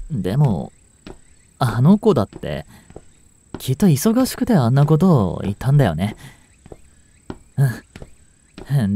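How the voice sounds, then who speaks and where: A man playing a gentle, brooding male chemistry teacher in a voice drama, soft-spoken with sighs and murmurs. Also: medium pitch, a little hiss or hum. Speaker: voice actor in a fan voice drama. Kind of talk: Japanese audio drama monologue, intimate acting.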